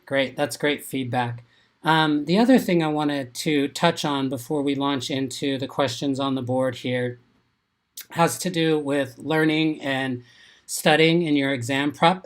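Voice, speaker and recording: Congested upbeat male webinar host. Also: fairly high pitch. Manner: upbeat, encouraging, quizzes viewers from chat. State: congested, getting over an illness. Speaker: exam prep webinar host. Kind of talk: live webinar teaching, reading chat.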